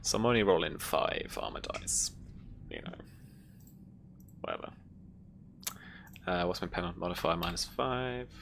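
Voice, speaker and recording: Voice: low voice, moderate pace, creaky, long pauses, a bit bright, slight background noise. A game master running a tabletop roleplaying game, informal in manner, narrating the action.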